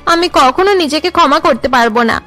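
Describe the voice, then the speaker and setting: A woman's voice: high-pitched, fairly quick, pushed and bright, faint music behind. A woman voicing a sad, self-blaming married woman in a melodramatic cartoon, with a plaintive, regretful manner. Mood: remorseful, sad.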